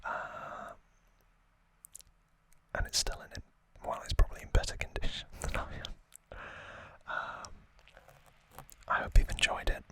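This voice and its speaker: A man hosting ASMR in a breathy, unvoiced whisper, rambling hesitantly and a little self-deprecatingly as he chats. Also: measured pace, long pauses, sharp tone, rough recording. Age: late 20s or older. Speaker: ASMR channel host. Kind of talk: whispered ASMR unboxing chat.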